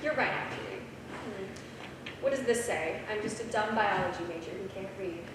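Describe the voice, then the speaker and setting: A woman's voice: medium pitch, moderate pace, close to an everyday voice. Distant, echoing woman as a bold young student. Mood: playful, teasing. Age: young adult. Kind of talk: staged script reading of a play. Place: small theater stage. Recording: very echoey, noisy theater, far off.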